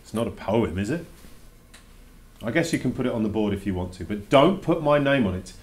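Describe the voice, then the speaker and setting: A reluctant schoolboy, acted out by a man in a fairly low voice, reading his journal from a children's storybook. He is grudging and full of questions, pressing each protest with emphasis. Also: expressive, brisk, close to an everyday voice. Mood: earnest, thoughtful. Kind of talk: dramatic read-aloud of a children's verse novel.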